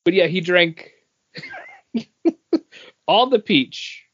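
A man co-hosting a podcast, telling a casual anecdote in an amused, halting way. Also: high voice, expressive, fairly bright tone, husky, dull audio. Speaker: podcast co-host.

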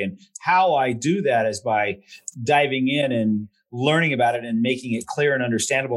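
A man's voice: mid-range voice, low-quality recording. A man hosting a conversational interview, thoughtful and affirming, often answering with short acknowledgements. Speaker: host.

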